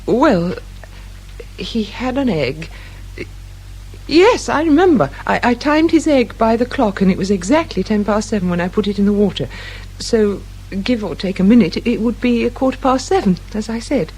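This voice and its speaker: Anxious, high-voiced British grieving mother. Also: animated, moderate pace, a little mellow, breathy edge, low-quality recording. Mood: guarded, defensive. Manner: hesitant, guarded, polite. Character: anxious, evasive grieving mother, a polite housewife. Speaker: actress in a radio drama. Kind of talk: British radio crime drama acting.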